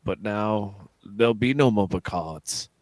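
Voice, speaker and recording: A man playing a dignified, ageing, reserved starship captain with measured, formal delivery in a sci-fi radio theatre read. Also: on the low side, a little mellow, no room sound, close to an everyday voice. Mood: melancholy.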